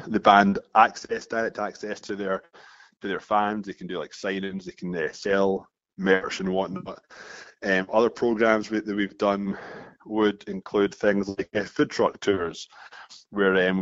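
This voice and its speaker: Low-voiced Scottish man, muffled dry sound. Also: no room sound. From Scotland. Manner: restarts phrases often, steady explaining flow. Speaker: founder of a marketing agency, guest. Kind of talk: casual interview talk. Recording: dull muffled dry recording.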